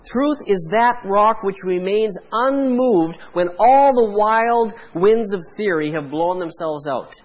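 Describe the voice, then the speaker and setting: A Canadian man, a pastor, preaching a sermon in a measured way, pausing for emphasis. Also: sits high, animated.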